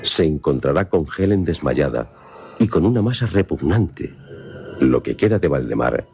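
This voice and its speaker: A man with an extremely deep, dark voice narrates a scripted film synopsis. He is formal and dramatic, in a slightly muffled voice-over with music underneath. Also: sing-song, normal speed, creaky.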